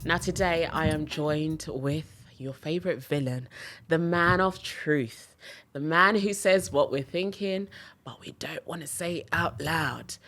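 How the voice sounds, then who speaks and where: A Scottish woman with a notably low, deep voice hosts a casual podcast from a studio. She talks in an upbeat, friendly way and keeps her guest going with steady mm-hmms and yeahs. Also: a little slow, soft background music. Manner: upbeat, affirming with frequent mm-hmms. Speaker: podcast host. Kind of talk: casual interview chat.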